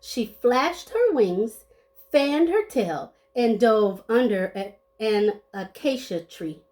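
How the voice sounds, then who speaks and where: A woman with a fairly high voice reads a children's picture book aloud. Her pitch moves in big, lively swings and her pace is very slow. She gives the sound words dramatic weight and adds short asides to young listeners. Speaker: storybook reader.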